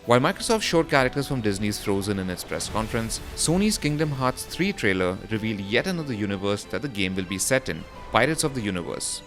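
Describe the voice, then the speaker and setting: A man's voice: on the low side, sing-song, quick pace, few pauses. A man reading a scripted voice-over for a gaming news roundup, in the role of presenter. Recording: music behind the voice. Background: background music.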